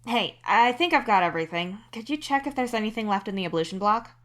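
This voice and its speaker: A young alien guy voiced by a woman, caring and earnest, in a fiction podcast. The voice sits higher than the narration and has an open, casual, chatty manner. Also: expressive, fast, close to an everyday voice. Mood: casual, rambling. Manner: soft-hearted, casual, open. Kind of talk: scripted audio fiction dialogue.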